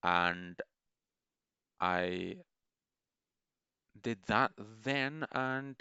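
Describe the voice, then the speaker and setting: Man, very low smooth voice, casual enthusiastic rambler, dry dull lo-fi sound. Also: drawn-out words, bright, forceful tone, no room sound. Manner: meandering, enthusiastic, many restarts. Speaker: gaming video creator.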